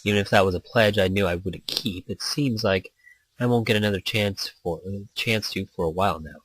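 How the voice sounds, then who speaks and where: A man's voice: on the low side, fairly quick, background noise. A man reading a visual novel aloud as narrator in an informal way, sometimes stumbling and rereading a line. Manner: informal, stumbles over lines and rereads them. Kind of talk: story read-aloud in a game playthrough. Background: background music.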